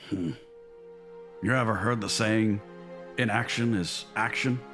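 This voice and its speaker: A man playing a grieving but resolute older newsman and fatherly uncle in a comic dub, measured and earnest. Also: fairly low pitch, fairly even intonation, music in the background, close to an everyday voice. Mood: fatherly, firm. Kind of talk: dramatic comic dub acting.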